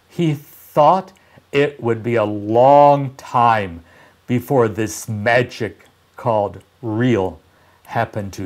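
A man in his sixties reading a children's story aloud expressively, with deliberate pauses and dramatic emphasis. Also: medium pitch. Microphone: lapel mic.

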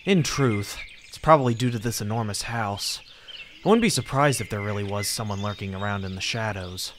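A man's voice: on the low side, fairly quick, fairly bright tone, a little hiss or hum, natural voice. A man voicing an anxious, lonely teenage schoolboy in a dramatic horror manga reading. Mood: melancholy, uneasy.

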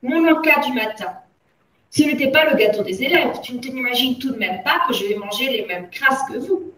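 A woman's voice: fairly high pitch, expressive, normal speed, a little hiss or hum, close to an everyday voice. A woman reading a story aloud as a tyrannical headmistress, barking orders and insults in a bullying manner. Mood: furious, accusing. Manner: barks commands and theatrical insults. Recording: roomy echo, distant from mic.

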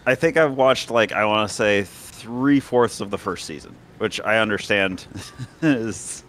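A man's voice: lowish voice, unhurried, a bit bright, a little hiss or hum. A man chatting casually as a co-op gaming partner, talking in a hesitant, rambling way. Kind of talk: casual gameplay chat.

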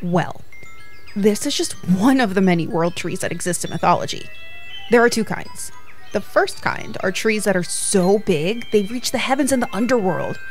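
A woman's voice: medium pitch, expressive, normal speed, soft background music, natural voice. A woman playing a sensible, bookish young friend who loves mythology, dry and explanatory, in an audio drama.

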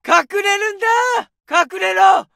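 Aggressive game soldier, very high voice, gravelly, shouting, dry. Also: male voice, fairly even intonation, takes it slowly, dry recording, heavily acted. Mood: commanding. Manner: shouted taunts and orders. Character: aggressive young male Japanese infantry soldier.

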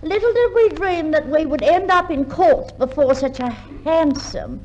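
A woman's voice: high voice, expressive, measured pace, darkish tone, muffled recording. An actress playing a naive, feuding woman in a 1950s radio comedy, theatrical and guileless. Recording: 1950s radio recording, faint hiss.

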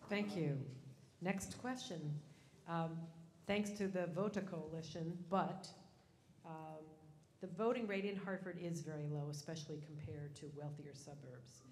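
A middle-aged woman moderating a candidate forum, brisk and businesslike. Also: low-pitched, steady tone, darkish tone. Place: library meeting room. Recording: roomy hall with faint noise.

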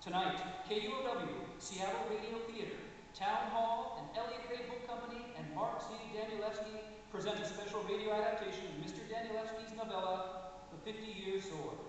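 A woman's voice: medium pitch, a little fast. A woman announcer giving a formal live radio introduction in a large hall. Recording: echoey hall from far off, hiss.

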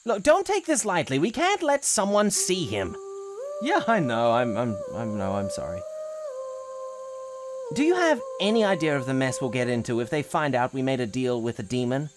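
A man's voice: medium pitch, sing-song, moderate pace, background noise, lightly acted. A man voicing an anxious, well-meaning young teacup boy in a cartoon comic dub, hesitant and pleading. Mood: worried. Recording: prominent music behind the voice.

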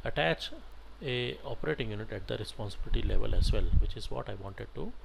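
A man teaching software as an instructor, talking through an on-screen tutorial step by step in halting, instructional phrases. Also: fairly low pitch, vocal fry. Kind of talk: screen-share tutorial narration, unscripted step-by-step. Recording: noticeable background noise.

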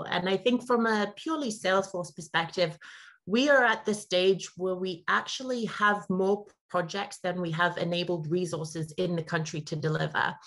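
A woman's voice: lowish voice, a bit bright. A woman from Zimbabwe in her thirties, a guest answering interview questions over a video call in an upbeat, articulate, businesslike way. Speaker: guest, partner account manager. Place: home room, indoors.

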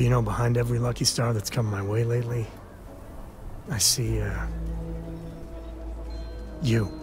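A rugged space cowboy , acted by a man, speaks intimately in a video game. He is earnest and a little bashful, halting as he goes at an unhurried pace. Music plays clearly behind him. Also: mid-range voice, natural voice. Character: rugged space cowboy, sincere, bashful, affectionate single father. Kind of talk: intimate scripted game dialogue.

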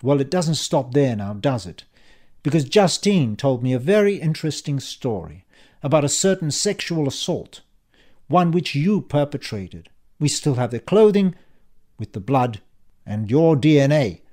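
Man acting a cheeky, calm, taunting schemer. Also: mid-range voice, a little slow. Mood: calm, confident. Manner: calm, mockingly polite, taunting. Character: cheeky, calm male schemer, mockingly polite and confident. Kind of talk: audiobook character dialogue.

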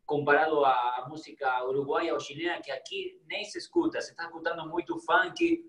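An enthusiastic young man with an Argentine Spanish accent, speaking his second language warmly and sometimes stopping to search for a word. His voice sits a bit high, and the small room he is in comes through clearly in an echoey sound. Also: animated, moderate pace. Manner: warm, enthusiastic, searches for words at times. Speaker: guest, psychologist. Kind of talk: casual interview over video call.

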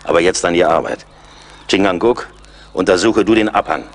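A man's voice: sits low, animated, normal speed. A man acting a seasoned frontier scout in a German radio play, assured and wry. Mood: alert.

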